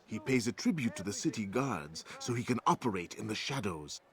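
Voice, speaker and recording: An actor playing an anxious informant in a video game, speaking in a formal, measured way. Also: male voice, on the low side, very animated. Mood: relieved, conspiratorial. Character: anxious male informant seeking help, formal and grateful.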